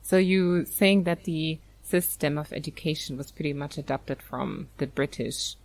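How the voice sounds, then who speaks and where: A woman's voice: sits low, unhurried, darkish tone, slight background noise. A woman hosting a podcast, introducing the episode and chatting with a guest in an upbeat, enthusiastic way. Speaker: podcast host. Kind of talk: casual interview chat.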